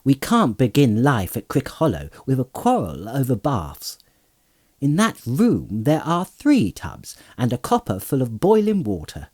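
A man reading an audiobook aloud and voicing a cheerful, bossy young male hobbit, brisk and a little raised above his narration. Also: medium pitch, wildly swooping pitch, a little mellow, pitched above the performer's own voice. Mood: cheery, bossy. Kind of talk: audiobook character voice.

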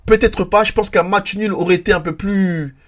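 A man's voice: high-pitched, steady tone, normal speed, fairly bright tone. A man hosting a solo football debrief podcast, congested with a head cold and a sore throat, talking frankly and often restarting his sentences. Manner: frank, repetitive restarts, stammers when tired.

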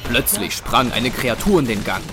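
A man's voice: medium pitch, big pitch swings, normal speed, loud music behind the voice. A man narrating an action horror audio drama in a dramatic, scripted style.

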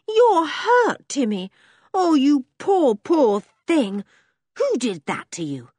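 A woman reading an audiobook voices a frightened, gentle young girl who talks in an anxious, pleading way. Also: high voice, sing-song, slow, dry recording, studio quality, pitched above the performer's own voice, full character voice.

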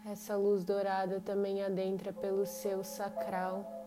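A woman with a Brazilian accent leads a guided meditation in short, unhurried phrases separated by long pauses. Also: medium pitch, completely flat delivery, dark tone, slight background noise. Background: meditation music with singing bowls.

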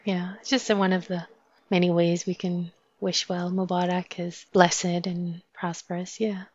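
A woman from Afghanistan, a guest in an interview, telling her story thoughtfully with many pauses and fillers. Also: lowish voice, little pitch movement. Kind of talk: interview conversation. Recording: dull lo-fi sound.

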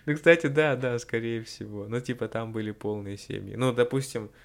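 A young man chatting casually as a podcast host, slangy and a little profane, often halting and repeating his phrases. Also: medium pitch, creaky.

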